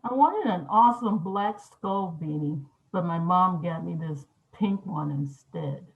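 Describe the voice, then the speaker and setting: A woman's voice: lowish voice, expressive, unhurried. A woman playing a stubborn, sulky eleven-year-old girl in a screenplay table read, over a tinny line.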